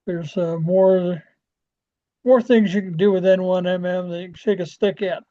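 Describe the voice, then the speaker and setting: An American man giving an informal software walkthrough as a club trainer, halting and often trailing off as he rambles along. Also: high-pitched, fairly even intonation. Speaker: presenter training radio club members. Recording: dull, lo-fi screen-share audio.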